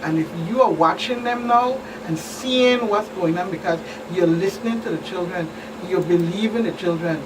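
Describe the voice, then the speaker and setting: A woman, a police guest expert giving firm, deliberate answers in an interview. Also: fairly low pitch. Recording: distant mic, clear background noise.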